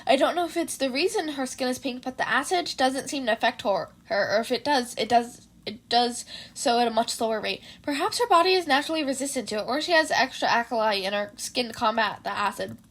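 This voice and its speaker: A woman's voice: fairly high pitch, expressive, fairly quick, few pauses, fairly bright tone. A woman voicing a shy, flustered teenage boy who rambles analytically and apologetically in a fan fiction reading. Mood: earnest, analytical.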